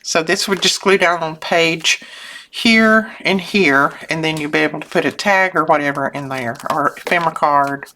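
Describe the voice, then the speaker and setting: Low-voiced older woman chatting while crafting. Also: moderate pace, sharp tone, slight background noise. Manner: chatty, homey, self-interrupting, chuckles often. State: voice lowered from sinus trouble. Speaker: craft channel host. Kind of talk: casual crafting chat while working. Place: home craft desk.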